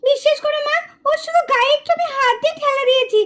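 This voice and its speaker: A woman's voice: very high-pitched, fairly even intonation, fast, a bit bright. A woman voicing a young girl, an older sister who whines and pleads. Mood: pleading. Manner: whining, pleading.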